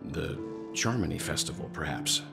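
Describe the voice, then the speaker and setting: An actor playing a composed, shrewd, suspicious man in a sci-fi fantasy game, with gravelly voice and deliberate, grave phrasing. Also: extremely low pitch, very animated, heavily acted. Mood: wary, pensive. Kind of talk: video game voice acting. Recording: game audio with music behind.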